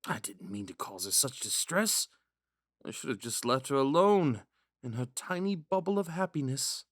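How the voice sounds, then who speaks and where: A man playing a gentle, smitten young prince in a romantic audio roleplay, musing and hesitant in a courteous way. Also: medium pitch, lively intonation, moderate pace, no echo, studio quality. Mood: apologetic, reassuring. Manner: musing, hesitant, courteous, with sighs and whispered asides.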